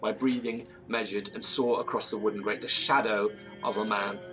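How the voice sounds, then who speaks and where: An actor playing a haunted, frightened man, telling his tale in halting, theatrical, literary phrases for a gothic audio drama. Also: lowish voice, flat delivery, over music, close to an everyday voice. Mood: haunted, tense. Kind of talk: gothic audio drama, first-person storytelling.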